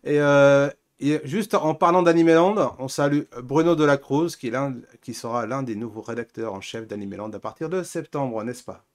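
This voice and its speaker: A man's voice: medium pitch, moderate pace, hardly any pauses. A man hosting an anime news show in casual chat, hesitating and repeating words as he goes.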